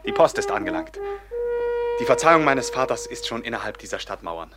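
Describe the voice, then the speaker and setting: A man's voice: mid-range voice, sing-song, fairly quick, over loud music, close to an everyday voice. An actor playing a proud, brooding young nobleman who longs for home, earnest and lofty in a classical radio play. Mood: noble resolve.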